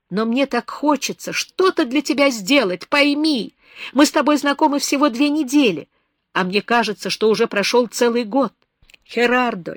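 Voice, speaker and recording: A woman reading aloud, voicing a young woman who pleads through tears with impassioned, high, loud speech. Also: dry recording, clean studio sound. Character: tearful young woman pleading with her lover.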